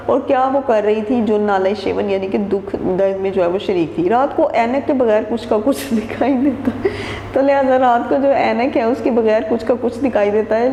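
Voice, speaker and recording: A woman's voice: a little high, warm tone. A woman teacher reading a textbook passage aloud and explaining each sentence again in simpler words. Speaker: Urdu teacher. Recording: echoey room with long reverb and faint noise.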